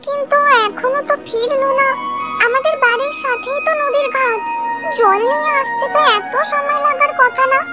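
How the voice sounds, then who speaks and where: Tiny squeaky-high cartoon village girl, earnest and caring, music under it. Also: steady tone, normal speed. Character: caring young village girl, orphaned granddaughter, dutiful. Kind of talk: Bengali cartoon voice acting. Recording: loud music behind the voice.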